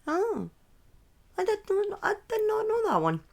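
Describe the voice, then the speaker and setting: A woman's voice: very high voice, wildly swooping pitch, measured pace. A woman in her thirties with a Scottish accent, telling true crime stories casually on a live stream, often breaking off into chatty asides and correcting herself. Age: 30s.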